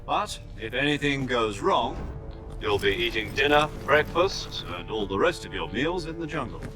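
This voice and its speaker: A man voices a composed, middle-aged military commander for a video game. He briefs with measured authority and precise diction at a medium pitch, with music clearly playing behind him. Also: sing-song, close to an everyday voice. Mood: wry warning. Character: composed middle-aged male army major briefing his agent. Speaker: commanding officer, a major.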